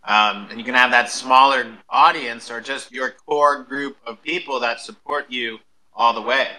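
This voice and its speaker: Man, host, very sharp bright voice, enthusiastic, informal, promotional panel chat. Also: mid-range voice, steady tone, unhurried, rough recording. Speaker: host of an audio panel, music performer. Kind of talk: casual promotional panel talk.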